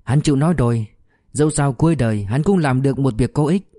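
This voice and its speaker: A composed, tactful woman from an audiobook novel, voiced by a male narrator, matter-of-fact in manner. The tone is mellow and the recording dry. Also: medium pitch, fast, dry recording, voice pushed up, close to an everyday voice.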